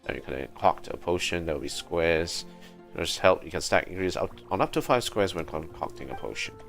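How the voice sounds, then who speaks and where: A man's voice: low voice, lively intonation, fairly quick, gravelly, a bit bright, soft background music. A man doing casual live commentary on a video game, sometimes reading out text from the screen. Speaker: game livestreamer.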